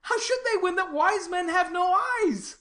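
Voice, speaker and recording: Shakespeare audio drama: lovesick male youth voiced by a woman, high-pitched, distraught, theatrically anguished. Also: moderate pace, a bit bright. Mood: defiant despair. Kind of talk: classical stage tragedy in audio.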